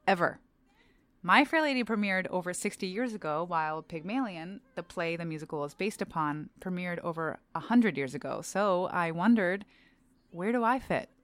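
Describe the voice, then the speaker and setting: A young adult woman, a stage actor, reading a scripted personal essay aloud in a measured, earnest, clearly enunciated way. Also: fairly low pitch, expressive, a bit bright, no echo.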